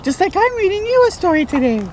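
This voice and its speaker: A woman reading a children's picture book aloud as a storytime host, expressive and chatting to young listeners. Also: very high voice, fast talker, a little hiss or hum, low-quality recording. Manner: expressive, interjects comments and questions to young listeners.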